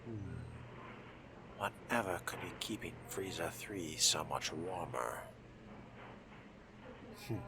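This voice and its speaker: A man roleplaying a brooding, calculating vampire fledgling in a video game, musing to himself in measured, formal phrases. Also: sits low, animated, full character voice. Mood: musing, suspicious. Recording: clear background game noise.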